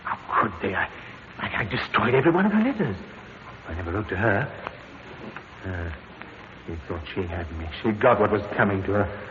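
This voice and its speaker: Fretful guilty suspect, stammers, lively swoopy voice, tinny hissy old radio with long reverb. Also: male voice, fairly low pitch, moderate pace, long pauses. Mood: anxious muttering. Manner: fretful, stammering, self-justifying. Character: nervous middle-class murder suspect, guilty, indignant. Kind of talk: 1950s radio drama acting.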